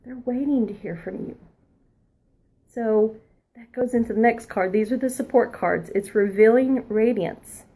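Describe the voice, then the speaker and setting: A woman past her twenties giving a spiritual oracle card reading, earnest and encouraging, sometimes dropping into a soft whisper. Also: fairly high pitch, a little slow, husky. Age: adult past her twenties.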